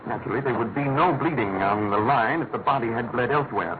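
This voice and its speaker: An actor playing a brilliant, self-assured detective in a classic radio drama, with a crisp manner of speech. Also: male voice, medium pitch, quick pace, lightly acted. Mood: brisk, assured. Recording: noisy, tinny vintage radio recording.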